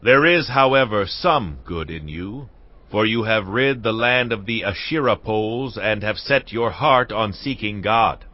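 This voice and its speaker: A stern seer speaks in a lowish, measured voice. The audio is dull and lo-fi. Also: male voice, animated. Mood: stern rebuke. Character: stern male seer rebuking a king. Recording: dull lo-fi sound.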